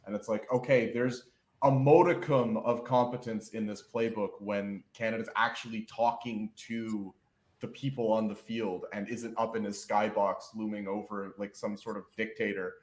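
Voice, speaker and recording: A man's voice: fairly low pitch, level tone, moderate pace, a little mellow, roomy sound. A man giving earnest, detailed football analysis on a casual podcast. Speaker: podcast guest.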